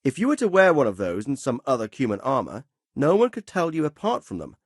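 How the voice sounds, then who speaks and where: Commanding medieval lord, lively intonation. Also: male voice, medium pitch, dry recording, clean studio sound. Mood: brisk, commanding. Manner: authoritative, measured, formal phrasing. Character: commanding middle-aged medieval nobleman, stern but fatherly. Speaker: feudal lord and military commander. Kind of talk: video game dialogue acting.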